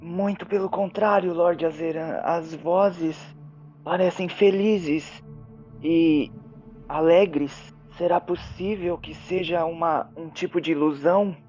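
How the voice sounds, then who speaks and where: A man plays a formal, hesitant medium who talks with spirits in a tabletop fantasy tale. His voice sits high for a man and he speaks slowly and earnestly. The audio is a little dull, with faint music behind. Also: fairly even intonation, a little mellow. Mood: confused, worried.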